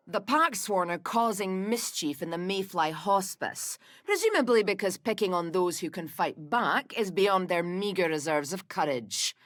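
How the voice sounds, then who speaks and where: A woman's voice: on the low side, no room sound. A woman voicing a haughty, scornful female elf ranger in a video game, speaking in a dry, sardonic, disdainful way. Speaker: voice actor. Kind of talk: video game character voicelines.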